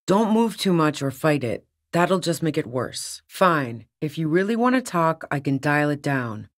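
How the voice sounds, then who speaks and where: A woman's voice: low-pitched, big pitch swings, a little slow. A woman voicing a tough, wary fugitive in a video game, blunt, wry and defiant, with profane, slangy talk. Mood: guarded, wry. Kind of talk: video game character dialogue.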